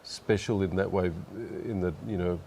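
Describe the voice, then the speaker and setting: A man, chatting in an interview in a relaxed, thoughtful way. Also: low voice, normal speed, slight background noise. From Australia. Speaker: guest musician. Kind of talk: casual interview chat.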